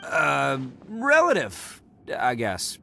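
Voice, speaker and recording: A man's voice: fairly high pitch, huge pitch swings, very slow, gravelly, bright, forceful tone, slight background noise, full character voice. An actor voicing a brash, boastful young male oni brawler in a game, bashful and self-congratulating. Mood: hesitant. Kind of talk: game voice acting.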